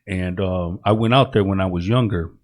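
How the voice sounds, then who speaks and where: A man hosting a casual podcast, talking in a relaxed, slangy way and often checking in with "you know what I'm saying". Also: low-pitched, normal speed. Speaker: podcast host.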